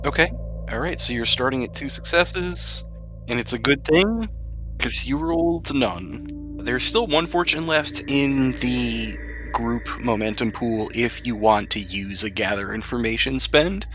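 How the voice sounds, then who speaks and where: A man's voice: medium pitch, lively intonation, measured pace, fairly bright tone, soft background music. A man speaking as game master, narrating a tabletop role-playing game in a casual, conversational, jokey way.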